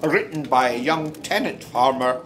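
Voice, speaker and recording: A man's voice: mid-range voice, animated, unhurried, fairly bright tone. A man with a Scottish accent playing a convivial, folksy narrator telling tales by the fireside in a radio play. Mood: hospitable, storytelling. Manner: leisurely, hospitable, folksy. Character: convivial Scottish fireside storyteller, fond of a dram. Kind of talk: storytelling in an audio drama.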